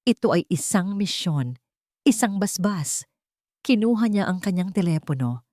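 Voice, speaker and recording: A woman narrates a scripted audio drama in a soft, solemn storytelling manner. Her voice sits low. The recording is close-miked and completely dry, with no room sound. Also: lively intonation, a little slow, dry recording.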